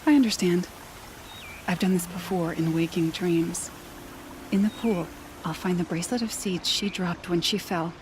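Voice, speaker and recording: A woman's voice: fairly low pitch, normal speed, noisy background, close to an everyday voice. A woman playing a mourning tribeswoman in a video game, speaking solemnly and poetically. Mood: solemn grief. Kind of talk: video game dramatic dialogue.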